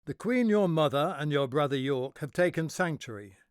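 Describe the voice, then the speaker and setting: A man's voice: a little high, lively intonation, moderate pace, smooth, dry recording, clean studio sound, close to an everyday voice. A man playing a principled, dutiful lord at court, speaking formal, earnest verse in a Shakespearean audio drama. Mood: apologetic.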